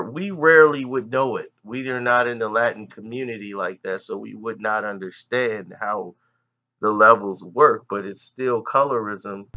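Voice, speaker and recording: Bright-voiced indignant male commentator. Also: mid-range voice, lo-fi sound. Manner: indignant, emphatic. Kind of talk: reaction commentary.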